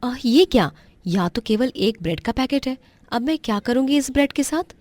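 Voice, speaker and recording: A woman's voice: fairly high pitch, animated, normal speed, darkish tone, no room sound, pitched above the performer's own voice, close to an everyday voice. Woman acting kind, earnest young housewife. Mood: flustered. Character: kind, resourceful young married woman, eager to help.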